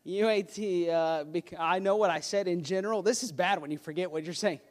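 A man's voice: sits high, brisk. A man preaching a sermon as pastor, earnest and emphatic, with a self-deprecating touch. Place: church sanctuary. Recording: long hall reverb.

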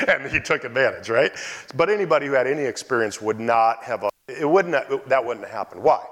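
A man preaching a sermon, telling a personal story in an animated way and bursting into loud exclamations. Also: mid-range voice. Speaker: pastor. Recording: hall reverb.

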